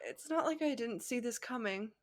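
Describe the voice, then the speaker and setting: A woman's voice: a little high, expressive, normal speed. Giggly woman reading aloud casually. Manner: giggly, easily cracked up, stumbles over lines. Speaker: co-host reading fan fiction aloud. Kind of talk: casual comedic read-aloud with commentary.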